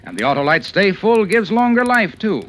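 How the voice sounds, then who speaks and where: High, bright 1940s radio pitchman. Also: sing-song. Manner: brisk, punchy salesman delivery. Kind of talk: 1940s radio commercial announcing. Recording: noisy old radio recording.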